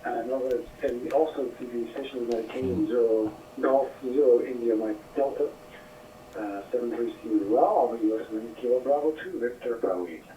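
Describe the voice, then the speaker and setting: Mellow man on a muffled radio. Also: medium pitch, brisk. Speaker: amateur radio operator. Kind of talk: two-way radio sign-off. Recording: dull, roomy, distant radio speaker, faint noise.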